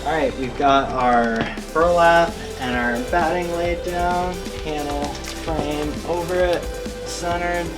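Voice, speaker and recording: A man's voice: a little high, fairly even intonation, takes it slowly, over music. A man giving a casual, easygoing hands-on tutorial as the host of a DIY build video. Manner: informal, easygoing.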